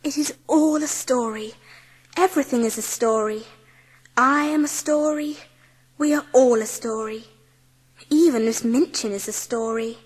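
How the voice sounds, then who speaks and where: A woman's voice: a little high, a little slow, slightly rough, voice pushed up, poor audio. A woman voicing an imaginative, earnest, well-bred young girl in a dramatised storybook. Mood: quietly dreamy. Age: child figure. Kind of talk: dramatised audiobook character acting.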